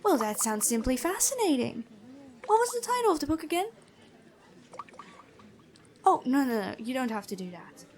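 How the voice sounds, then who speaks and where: A woman playing a gentle but stern, bookish female prison guard in a fantasy ASMR roleplay, chatty and inquisitive. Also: high-pitched, big pitch swings, normal speed, long pauses. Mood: frank, compassionate. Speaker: ASMR voice actor.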